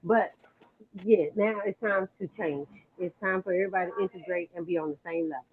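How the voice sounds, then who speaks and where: A woman calling into a panel, telling a personal story in a halting way. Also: on the low side. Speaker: call-in panelist. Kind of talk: casual panel discussion. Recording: tinny phone line with faint hiss.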